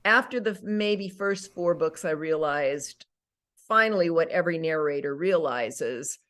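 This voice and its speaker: A middle-aged woman, an actress and audiobook narrator, chatting casually in an interview, animated and full of fillers and self-corrections. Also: low-pitched, unhurried.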